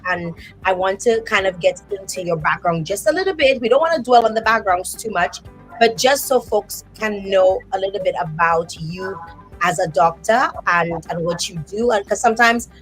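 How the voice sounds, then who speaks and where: A woman hosting a live online talk show, speaking in an expressive, thankful way over a video call. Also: medium pitch, normal speed, soft background music, rough recording. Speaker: host.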